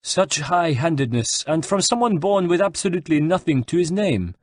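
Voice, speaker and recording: A man's voice: medium pitch, sing-song, measured pace, darkish tone, slightly rough, dry recording, clean studio sound, voice pushed up. A man voicing a haughty, condescending French general in an audiobook, with pompous mock politeness. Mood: sneering. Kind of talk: dramatised audiobook narration.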